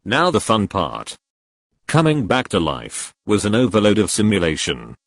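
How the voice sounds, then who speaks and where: Male narrator, low voice, animated pitch, reading forum stories straight through, dry close mic. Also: normal speed, no echo. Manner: reads every post straight through in one steady narrating voice. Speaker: narrator reading online posts.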